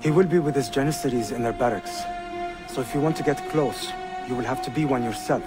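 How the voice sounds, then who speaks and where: A man's voice: mid-range voice, brisk, over loud music, lightly acted. An actor playing a shrewd, resolute young Ottoman prince in a video game, speaking formally and precisely. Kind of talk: video game cutscene acting.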